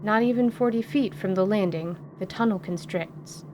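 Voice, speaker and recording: A woman narrating a scripted fantasy tale in a measured, literary radio theatre style. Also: medium pitch, a little mellow. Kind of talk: scripted descriptive story narration. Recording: faint background noise.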